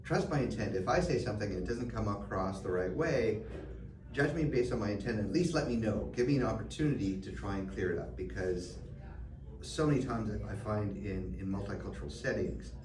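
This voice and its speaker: A middle-aged man, answering interview questions thoughtfully, often pausing with fillers and restarts. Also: on the low side. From Canada. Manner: reflective, many fillers and restarts. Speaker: guest. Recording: roomy, distant mic, background noise.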